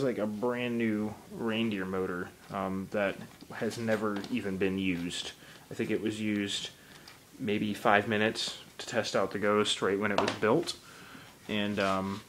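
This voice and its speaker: A hoarse young man in his twenties, casually explaining a home project while filming on a handheld phone, with lots of ums. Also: lowish voice, noisy background. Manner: rambling, many ums, explaining while handling gear. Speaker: hobbyist showing a Halloween haunt build. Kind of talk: casual filmed walkthrough. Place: room in a home, indoors. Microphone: handheld phone camera.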